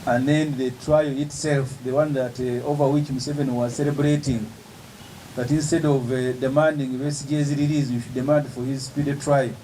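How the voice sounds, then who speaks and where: A man's voice: mid-range voice, level tone, moderate pace, warm tone, a little hiss or hum, low-quality recording. Emphatic man at a press briefing. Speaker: opposition politician.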